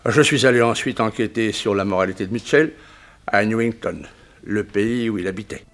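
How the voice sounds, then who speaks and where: An actor playing a composed, formal examining magistrate recounting a case in a 1960s French radio drama. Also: male voice, lowish voice, moderate pace, a bit bright. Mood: sober recounting.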